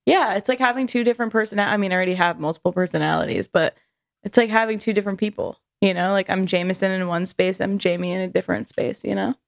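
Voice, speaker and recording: A woman co-hosting a casual podcast, playful with quick asides and casual swearing. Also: medium pitch, vocal fry, dry recording, studio quality. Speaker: podcast co-host.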